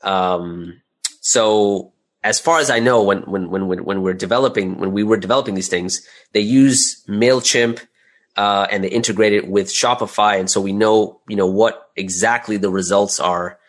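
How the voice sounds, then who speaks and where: A man's voice: lowish voice, normal speed. A man speaking as an interview guest, explaining his business informally and often repeating words as he gathers his thoughts. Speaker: interview guest, audio drama creator and business owner. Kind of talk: casual explanatory interview talk.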